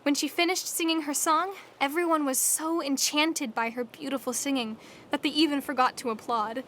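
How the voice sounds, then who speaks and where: A woman's voice: sits high, steady tone, moderate pace, fairly bright tone, a little hiss or hum. A woman narrator reading a scripted fairy tale for an audio drama. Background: music.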